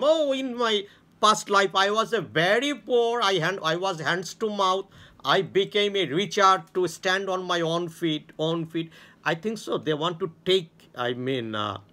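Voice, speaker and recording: A man with a Bangladeshi accent talks straight to his viewers in halting non-native English. He lectures in a grand, self-assured way, repeats himself and restarts his sentences again and again. His voice sits a bit high and sounds bright, and the pitch swings widely. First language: Bengali. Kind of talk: rambling monologue to viewers.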